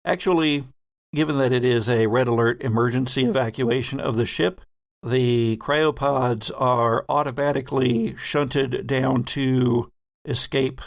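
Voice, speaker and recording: Male tabletop horror narrator, mid-pitched, plenty of fry in the voice, descriptive delivery. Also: fairly even intonation, a little slow. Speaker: host and game master.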